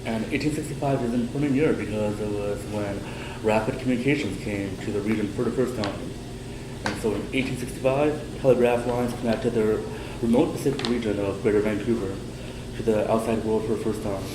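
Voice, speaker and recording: Young male student, lowish voice, steady prepared delivery, roomy echo, air-conditioning hum behind. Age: young adult. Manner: steady, prepared delivery with some slow stresses. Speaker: student presenter. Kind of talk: prepared class presentation.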